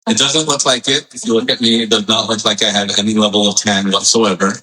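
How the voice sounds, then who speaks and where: A man in his forties, from Hawaii, answers interview questions in a friendly, unhurried way, pausing on fillers. His voice sits very low yet sounds bright and forceful. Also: flat delivery, poor audio. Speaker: physical therapist guest. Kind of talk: casual interview.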